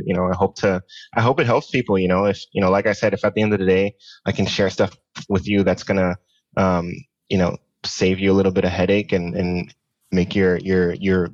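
A man's voice: low voice, dry recording, lo-fi sound. A man in his thirties chatting casually and earnestly as a guest in an interview. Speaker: podcast guest, tech business founder.

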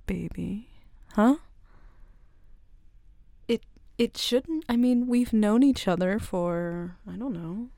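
A woman voicing a flirty, teasing tomboy co-worker in an ASMR roleplay, soft-spoken and giggly. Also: mid-range voice, vocal fry, dry recording, studio quality.

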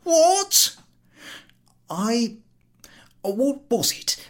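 A man's voice: very high-pitched, big pitch swings, drawn-out words, pitched above the performer's own voice, full character voice. A man voicing a frail, tormented, self-pitying sick passenger in a storybook reading, pleading and gasping melodramatically. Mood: gasping despair.

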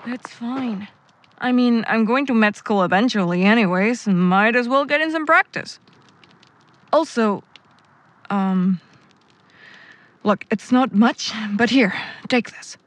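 A young woman voiced by an actress in a naturalistic audio drama, caring and a little wry. She talks slowly in a higher voice, with hesitant, casual phrasing. The sound is close and dry. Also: no echo. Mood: concerned. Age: young adult. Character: shaken but caring young woman, wry pre-med student.

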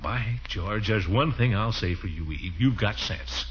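An actor playing a pompous, easily flustered, flirtatious middle-aged uncle in a 1940s radio comedy, blustering and hesitant. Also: male voice, lowish voice, expressive, a little slow, a bit bright, slightly rough, heavily acted. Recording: muffled, noisy 1940s radio recording.

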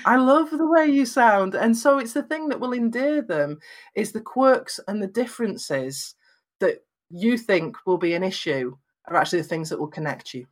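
A woman from northwest England with a Lancashire accent and flat vowels, giving encouraging advice about presenting on camera in an emphatic, conversational way. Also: mid-range voice, moderate pace. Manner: emphatic, encouraging, acts out little quotes. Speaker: guest giving video advice.

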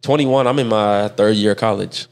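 A man's voice: on the low side, normal speed, creaky, clear, resonant tone. A man in his twenties talking casually and in slang as a panelist in a group chat. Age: 20s. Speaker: panelist on a dating talk show.

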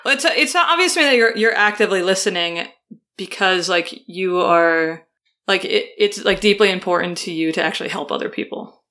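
A woman's voice: medium pitch, fairly quick, bright, forceful tone. A woman co-hosting a podcast, talking frankly and informally and swearing casually. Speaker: podcast co-host. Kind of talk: casual interview chat.

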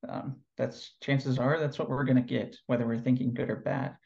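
A man from California being interviewed about his work as an NLP trainer, answering in a relaxed, reflective way with lots of fillers and pauses. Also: mid-range voice, creaky, lo-fi sound.

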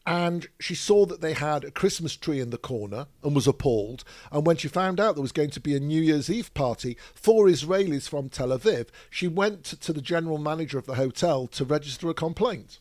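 A man's voice: fairly high pitch, expressive, a little fast, few pauses, darkish tone, no room sound. A middle-aged man from Manchester with a Northern English accent, co-hosting a podcast in casual chat with a dry, grumpy humour. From England. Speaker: podcast co-host.

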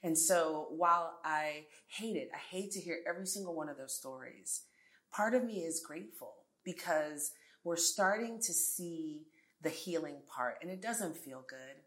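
Low-voiced woman in her forties. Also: moderate pace, a bit bright. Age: 40s. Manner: earnest and emphatic, with frequent restarts and asides. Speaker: guest, tech entrepreneur. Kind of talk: conversational interview.

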